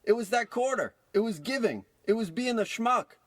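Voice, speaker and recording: A man's voice: very high voice, animated, moderate pace, fairly bright tone, dry recording, clean studio sound, full character voice. A man playing a cranky, manic old miser turned do-gooder in a parody of old radio drama. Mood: giddy, excited.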